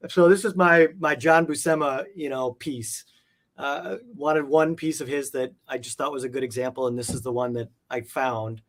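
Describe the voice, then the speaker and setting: A man's voice: mid-range voice, moderate pace. A man talking casually as an interview guest, with an enthusiastic, rambling, conversational manner. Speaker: guest, comic art collector.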